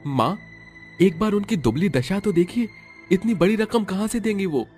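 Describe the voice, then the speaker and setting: A man's voice: high-pitched, expressive, normal speed, warm tone, voice pushed up. A man voicing a concerned young husband with an earnest, questioning manner in a storybook drama. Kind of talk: audio storybook drama acting. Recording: music under the voice.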